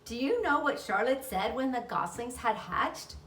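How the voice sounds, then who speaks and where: A woman's voice: a little high, expressive, moderate pace, a bit bright, echoey room, distant mic, voice pushed up. A woman voicing a chatty, earnest young girl in a storybook, speaking eagerly and matter-of-factly.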